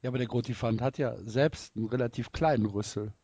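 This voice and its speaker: A man's voice: mid-range voice, fast, very noisy. A man co-hosting a casual chat podcast, trading dry, playful remarks. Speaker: podcast co-host.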